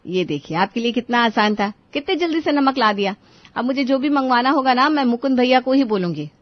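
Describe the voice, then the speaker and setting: A woman's voice: on the high side, lively intonation, brisk, no echo, lo-fi sound, pitched above the performer's own voice, close to an everyday voice. A woman voicing a teasing, nagging housewife and mother in a cartoon story, exclaiming and chiding. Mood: teasing, demanding.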